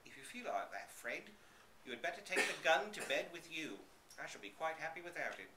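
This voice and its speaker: Man playing an easygoing old caretaker, lilting pitch. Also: big pitch swings, fairly quick. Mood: amused, teasing. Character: easygoing old male country caretaker, placid father. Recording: roomy live reading, slight background noise.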